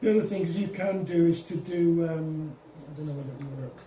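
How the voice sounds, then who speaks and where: Muffled, mellow man explaining in echoey room. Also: fairly high pitch, brisk. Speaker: presenter at an amateur radio club. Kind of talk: informal software demonstration to a group. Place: indoor club meeting room. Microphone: distant room microphone. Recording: echoey room, distant mic, faint noise.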